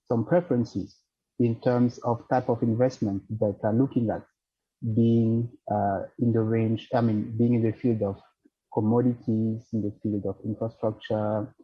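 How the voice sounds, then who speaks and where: A man with a French-accented English voice, answering a panel question in a measured, formal way while searching for words. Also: mid-range voice, slightly rough, highs cut off. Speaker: financial centre representative on a panel.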